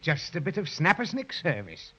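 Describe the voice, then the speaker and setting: A man's voice: on the high side, wildly swooping pitch, moderate pace, a bit bright, exaggerated voice. A man playing a jaunty, boastful crocodile trickster with theatrical, mock-genteel flourishes in a children's radio serial. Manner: theatrical, flamboyant, wheedling. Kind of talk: 1930s children's radio acting. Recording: muffled vintage 1930s radio transcription.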